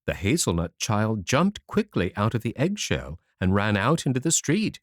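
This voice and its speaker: A man narrating a children's fairy tale audiobook in an expressive, animated storytelling manner. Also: on the low side, moderate pace, no room sound, studio quality.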